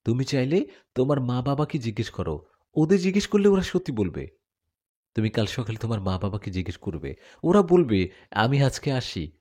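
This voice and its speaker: A man's voice: medium pitch, very animated, normal speed, a little mellow. A man voicing a devoted warrior jinn husband in an audio story, speaking in an earnest, dramatic, confessional way. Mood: gentle, reassuring.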